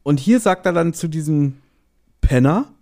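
A man hosting a podcast, casually retelling a story in an animated way and acting out short quotes. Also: fairly high pitch, unhurried. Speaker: podcast host. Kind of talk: casual chatty episode recap.

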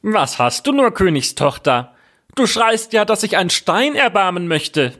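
A man voicing a croaking fairy-tale frog who pleads and bargains, in a storybook character voice. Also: high voice, big pitch swings, unhurried, fairly bright tone, pitched above the performer's own voice, full character voice. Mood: coaxing. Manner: punctuates speech with quacking croaks.

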